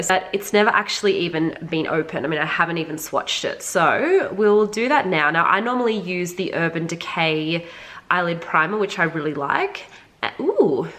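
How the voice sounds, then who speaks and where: A woman's voice: on the low side, a bit bright. A woman with a congested, slightly nasal voice from being sick, giving a casual, chatty beauty review straight to her viewers. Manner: informal, frequent "like", addressing viewers directly. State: sick with a cold. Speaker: beauty vlogger reviewing makeup.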